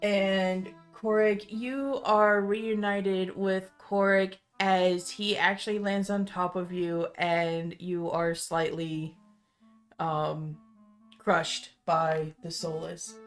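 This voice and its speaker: A woman's voice: on the low side, little pitch movement, slow pace. A woman acting as game master, narrating a tabletop roleplaying game. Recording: music under the voice. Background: instrumental music.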